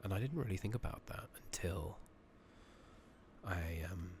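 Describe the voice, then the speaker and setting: A British man murmurs softly in a very deep, crackly voice with plenty of fry. He muses hesitantly and casually as he plays a game, ASMR style. The close microphone carries clear background noise. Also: expressive, measured pace. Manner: rambling, hesitant, casual musing. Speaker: streamer playing an online fantasy game. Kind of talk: soft-spoken, whispering ASMR game commentary. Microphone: close makeshift microphone. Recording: noisy, slightly loud close microphone.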